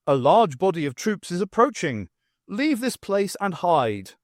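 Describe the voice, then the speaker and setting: A man's voice: high-pitched, sing-song, unhurried, no room sound, studio quality, pitched above the performer's own voice. Monster master for fantasy audiobook, male reader, urgent, barking, commanding. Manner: shouting, urgent. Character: commanding monster master rallying his troops.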